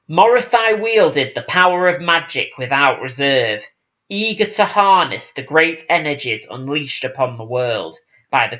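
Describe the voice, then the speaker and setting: A man reading a fantasy audiobook as scripted dramatic narration, in a solemn, measured storytelling manner. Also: a little high, bright, forceful tone, muffled recording. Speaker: audiobook reader.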